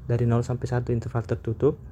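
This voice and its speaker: A man lectures on calculus, his voice warm and mellow, sitting at an ordinary middle pitch. He explains carefully and methodically, often pausing with small hesitation sounds before going on. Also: monotone, highs cut off, a little hiss or hum. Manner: measured, explanatory, frequent hesitation fillers. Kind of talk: recorded math lecture.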